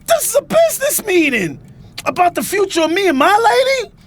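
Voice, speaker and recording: A man acts out an angry grown son in an audio drama, his high voice swinging widely. He is sarcastic, slangy and dramatic, wounded by his powerful family and set against them. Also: very animated, a little fast. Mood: indignant, angry.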